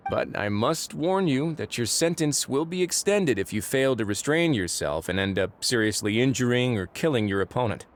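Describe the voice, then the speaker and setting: An actor playing a composed male prison warden in a game, with a measured, dry and authoritative way of talking. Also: medium pitch, expressive, lightly acted. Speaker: prison administrator. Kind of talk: game voice acting. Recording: studio game audio with faint music.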